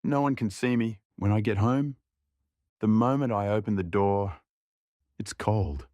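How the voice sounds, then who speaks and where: A man's voice: on the low side, normal speed, dark tone, dry recording, lo-fi sound. A young man giving scripted audio drama narration as a subdued, reflective inner monologue.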